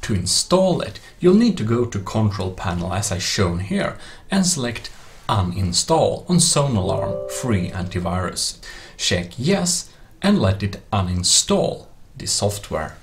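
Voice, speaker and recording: Man, low creaky voice, lively pitch movement, instructional tutorial voice-over, plain-spoken. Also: measured pace. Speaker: tutorial presenter. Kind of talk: step-by-step software tutorial narration. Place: voice-over screen recording.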